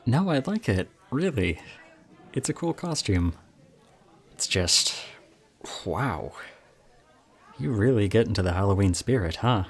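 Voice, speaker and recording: A very low-pitched young college guy, played by a male voice actor in an intimate roleplay audio drama. He is chatty and charming, pokes fun at himself and teases softly, with something subtly odd about him. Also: very animated, takes it slowly, pauses often, husky, heavily acted. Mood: playful, charming. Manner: chatty, self-deprecating, softly teasing.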